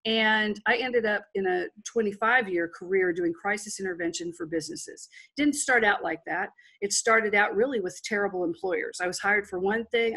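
A woman's voice: medium pitch, fairly bright tone, husky, rough recording. A woman in her forties, a business guest speaking frankly and at length over a video call on a headset. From Texas. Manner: frank and rambling, with restarts.

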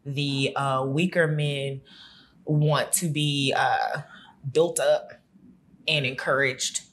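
An American woman in her thirties, a guest in a studio interview, speaking plainly and softly. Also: steady tone, slow. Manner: matter-of-fact, low-key, soft-spoken. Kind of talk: casual interview. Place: studio set with lights.

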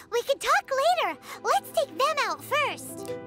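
Extremely squeaky little fairy sidekick. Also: female voice, sing-song, slow pace, pushed and bright, faint music behind, full character voice. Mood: businesslike. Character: tiny floating fairy companion, childlike, bossy, chatty. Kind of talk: anime video game voice acting.

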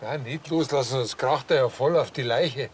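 A man playing a naive, excitable small-town policeman with a Bavarian accent in a TV crime comedy. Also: mid-range voice, moderate pace, a little hiss or hum, lightly acted. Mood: blunt, matter-of-fact.

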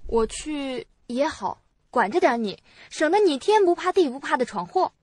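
A woman's voice: sits high, very animated, normal speed, darkish tone, no echo, faint music behind. An actress playing a timid young female college student in a radio drama. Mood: reluctant.

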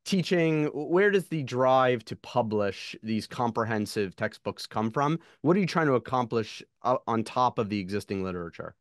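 A man in his thirties hosts an interview over a video call, asking friendly, curious questions at a medium pitch. The sound is dry and close, with no room echo. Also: expressive, moderate pace, few pauses. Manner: friendly, curious, brief acknowledgments. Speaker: podcast host. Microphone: video call webcam.

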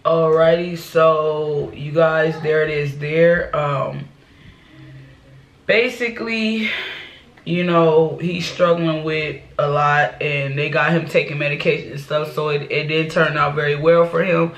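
Young woman, stuffed up, gravelly low fry, roomy bedroom echo, laptop webcam sound. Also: fairly even intonation, slow pace. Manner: chatty, repeats "y'all" and "you know". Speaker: reaction channel host. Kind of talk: casual chat to viewers. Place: small bedroom, indoors. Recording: echoey small room.

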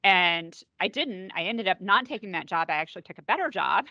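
A woman's voice: mid-range voice, expressive, fairly quick, bright, forceful tone, no room sound, dull audio. A woman on a panel call, telling a story in a matter-of-fact way. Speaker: panelist, environmental safety executive.

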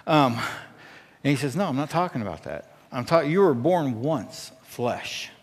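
A man's voice: mid-range voice, lively intonation, a little slow. A middle-aged American man preaching a conversational sermon as a pastor, earnest and emphatic, often checking in with his listeners. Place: church stage at a pulpit, indoors. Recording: echoey hall with long reverb.

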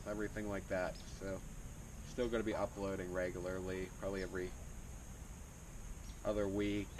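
A young man with a very low voice chats easily to his viewers, drifting through loose sentences with fillers. He stands a few steps from the camera, so he sounds distant and thin. Steady outdoor noise runs under everything. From Pennsylvania. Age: young adult. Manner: relaxed, rambling, with fillers and restarts. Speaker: fishing channel host. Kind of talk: casual talk to camera. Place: outdoors by a wooded pond. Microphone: camera on a tripod a few steps away.

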